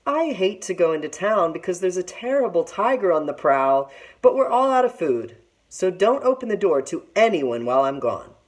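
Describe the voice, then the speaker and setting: Woman as an animated, pleading storybook mother. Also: lowish voice, normal speed, voice pushed up. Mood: anxious warning. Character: worried, pleading widowed mother of two daughters. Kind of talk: storybook read-aloud character voice.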